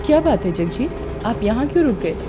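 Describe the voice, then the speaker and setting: A woman playing a friendly, teasing young train passenger in an animated horror story, in a conversational way. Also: fairly high pitch, a little fast, voice pushed up. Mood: puzzled. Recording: music behind voice.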